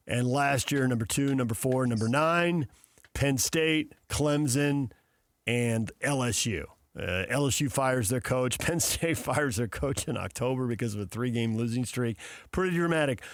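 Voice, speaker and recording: A man's voice: medium pitch, dry recording. A man hosting a sports talk radio show, introducing segments and putting questions to guests.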